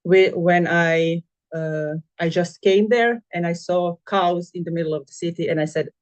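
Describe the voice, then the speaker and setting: A middle-aged woman from Croatia with a Croatian accent gives an informal presentation of her own work, pausing and searching for words as she goes. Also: fairly low pitch, dull audio. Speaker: compost practitioner and agricultural school project manager, presenting.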